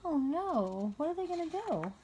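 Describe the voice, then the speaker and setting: A woman reading a children's story aloud, pausing to explain things simply and patiently to a young child. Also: high voice, very animated, a little mellow, slight background noise. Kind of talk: read-aloud story narration with casual asides to a child.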